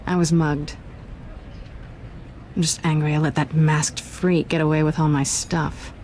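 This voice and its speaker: Low, mellow sly woman thief. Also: little pitch movement, slight background noise. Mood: guarded, wry. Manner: teasing, sultry, deliberate. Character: sly, flirtatious woman thief, cool and self-assured. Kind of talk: video game voice acting.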